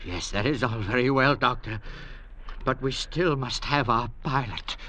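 A man playing an anxious, soft-hearted castaway in old radio drama style, with a fretful, pleading manner. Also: mid-range voice, a little slow. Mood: weary, uneasy. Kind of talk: 1940s radio drama acting. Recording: old radio recording with faint hiss.

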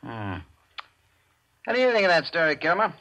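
An actor playing a gruff, skeptical police inspector, questioning suspects brusquely in 1940s radio crime style. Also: male voice, a little high, big pitch swings, normal speed, pushed and bright, dull audio, heavily acted. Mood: skeptical, annoyed. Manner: brusque, clipped interrogation.

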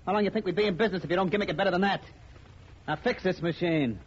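An actor playing a brutish, ill-tempered racketeer in a 1950s radio crime drama, with gruff, bossy, slangy tough talk. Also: male voice, sits high, rapid-fire. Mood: angry, threatening. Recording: old muffled radio recording, light hiss.

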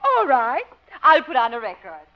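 High-voiced, cooing flirt. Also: female voice, wildly swooping pitch, normal speed. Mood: playful, flirty. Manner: cooing, lingering, teasing. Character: flirtatious, coy woman dance instructor, sweetly forward. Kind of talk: 1950s radio comedy acting. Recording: muffled old-time radio recording with music.

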